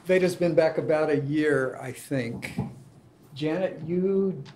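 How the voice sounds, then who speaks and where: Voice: medium pitch, slow pace, a little mellow, a little hiss or hum. A host introducing club members and asking them friendly questions at a meeting. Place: meeting room.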